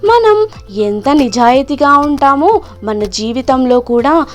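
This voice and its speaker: A woman narrating a scripted children's story in an expressive, measured storytelling manner. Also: sits high.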